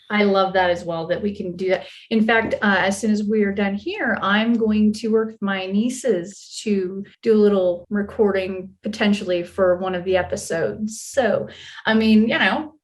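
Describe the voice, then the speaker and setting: Female interview guest, mid-range voice, wry and self-deprecating, animated casual storyteller. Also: medium pitch, normal speed. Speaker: voice actor being interviewed. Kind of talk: casual chat.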